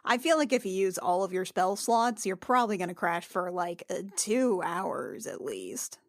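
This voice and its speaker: A woman's voice: on the low side, animated, moderate pace, no room sound, clean studio sound. A woman chatting and improvising as a player in a tabletop game. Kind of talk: casual chat and improvised role-play.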